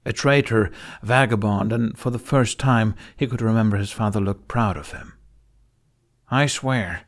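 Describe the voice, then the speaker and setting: A man's voice: fairly low pitch, steady tone, moderate pace, creaky. A man reading scripted fantasy fiction as a dramatic audiobook narrator.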